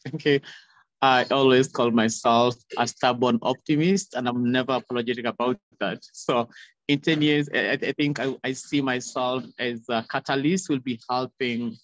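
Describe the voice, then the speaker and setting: A man's voice: medium pitch, fairly even intonation, normal speed. A young man giving earnest, impassioned remarks as a panel speaker on a video call, talking into a phone. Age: young adult. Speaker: youth activist, panel speaker. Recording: roomy, dull video-call audio.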